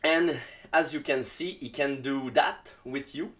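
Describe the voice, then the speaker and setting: A man with a Québécois French accent talks slowly and unhurriedly, in a middle-pitched voice. He speaks English as a second language, hesitating often and searching for words. He sounds like a casual solo video commentator talking through an event. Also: a bit bright. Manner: halting, many ums and uhs, searches for English words. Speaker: jiu-jitsu analyst.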